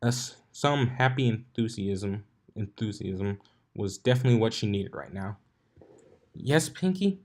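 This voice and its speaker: A man's voice: on the low side, normal speed. A man reading fan fiction aloud as narrator, in an amateur style with occasional stumbles over the words. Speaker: fanfiction narrator.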